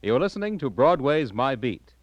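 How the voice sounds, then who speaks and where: A man's voice: medium pitch, big pitch swings, normal speed, highs cut off, loud music behind the voice. A man announcing on network radio in a polished, emphatic 1940s style, reading scripted promotion.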